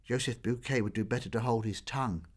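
A man voicing a secretive, fearful young girl dancer who talks in hushed, urgent, stammering bursts. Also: medium pitch, quick pace, natural voice.

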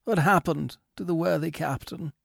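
A man voicing an eager, anxious middle-aged ship owner in a storybook reading, raising his voice high and questioning. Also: moderate pace, vocal fry, no room sound, clean studio sound.

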